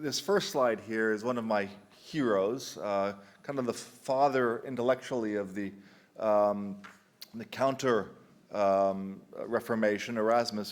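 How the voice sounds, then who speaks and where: Man in his thirties, conference speaker; easygoing delivery, wry asides, halting restarts. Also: medium pitch. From United Kingdom. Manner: conversational, frequent restarts and ums, dry humor. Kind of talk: conference presentation. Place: conference hall.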